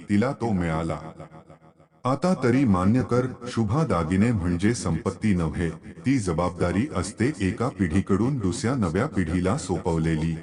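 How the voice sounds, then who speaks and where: Man playing a low-voiced, reproachful husband. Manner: reasoning, admonishing. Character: reproachful, principled husband, eldest son of the family.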